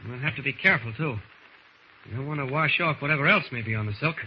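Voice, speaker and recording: An actor playing a calm, patient, intent reporter hero in a 1940s-style radio serial. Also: male voice, medium pitch, fast, lightly acted. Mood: calm, purposeful. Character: calm, kindly grown-up male reporter hero investigating. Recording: muffled, noisy old radio recording.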